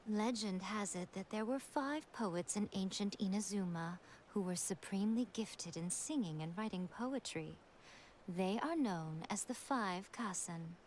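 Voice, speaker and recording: An actress playing a sly, elegant female fox-spirit priestess who talks in a smooth, teasing and regal way. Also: medium pitch, a little slow, a little hiss or hum, natural voice. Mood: explaining. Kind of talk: game cutscene voice acting. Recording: game audio with soft music behind. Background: music.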